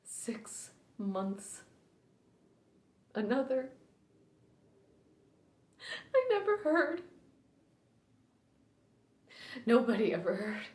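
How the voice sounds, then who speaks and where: A woman performing a dramatic stage monologue as a reclusive widow who haltingly breaks a long silence, in short, pained phrases. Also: fairly high pitch, big pitch swings, voice pushed up. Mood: grieving. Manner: halting, short broken phrases, sighing, swinging from hushed to pained. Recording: roomy, echoing indoor sound.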